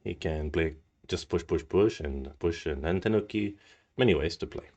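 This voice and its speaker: A man's voice: deep voice, moderate pace, dark tone, lo-fi sound. A man teaching go on a live stream, thinking aloud in a casual, musing way with a slightly stuffy nose. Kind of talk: live-stream commentary while solving go problems.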